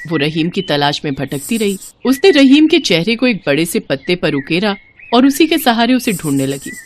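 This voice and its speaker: A woman's voice: medium pitch, sing-song, moderate pace, slight background noise. A woman narrating a scripted moral story as a dramatic storyteller.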